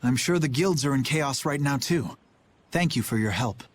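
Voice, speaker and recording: A man playing a courteous, earnest young knight commander with a polite, measured manner in an anime game dub. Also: mid-range voice, animated, slight background noise, close to an everyday voice. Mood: polite, concerned.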